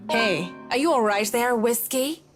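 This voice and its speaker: A woman's voice: on the high side, lively intonation, unhurried, music in the background. An actress playing a blunt, wry working woman in a video game, frank and casually sardonic. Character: blunt, wry female worker unafraid of her boss. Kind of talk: visual novel voice acting.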